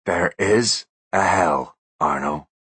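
A man voicing a hulking older bodyguard who plays a ghost, speaking low, hushed and very slow. The tone is breathy and menacing. It sounds like a close, dry storybook reading. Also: no room sound, full character voice. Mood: ominous. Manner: slow, quiet, ominous.

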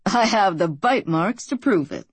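Fervent male preacher, slow rolling cadence, read by a woman narrator, dry studio audio. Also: medium pitch, lively intonation, slightly rough, dry recording. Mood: preaching. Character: male pastor preaching a televised wedding sermon. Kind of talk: audiobook character reading.

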